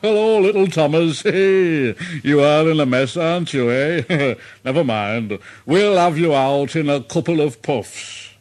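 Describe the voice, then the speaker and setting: A man voicing a big, proud, grown express engine in a storybook reading, in a deep, chuckling, hearty voice. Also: measured pace, few pauses, darkish tone, lightly acted. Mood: jovial.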